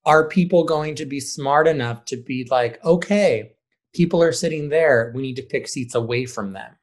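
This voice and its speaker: A man of medium pitch speaks as a theater director being interviewed. His delivery is conversational and animated, and he slips into little bits of acted-out dialogue as he explains. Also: normal speed. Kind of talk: casual interview talk.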